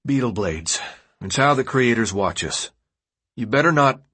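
Man performing audiobook character, bossy teen boy leader, gruff, husky, slangy, blunt, commanding. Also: medium pitch, animated, measured pace, fairly bright tone. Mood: irritated.

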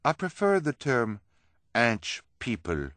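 A man's voice: mid-range voice, wildly swooping pitch, slow pace, a bit bright, no echo, voice pushed up, exaggerated voice. A man voicing an earnest, plain-spoken female carnival contortionist in an audiobook. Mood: delighted.

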